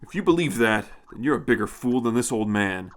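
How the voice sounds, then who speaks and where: A man's voice: mid-range voice, lively intonation, a little fast, a bit bright, natural voice. A man voicing a ruthless, fanatical space colonel in an audio story, menacing and contemptuous. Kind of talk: audio short story character.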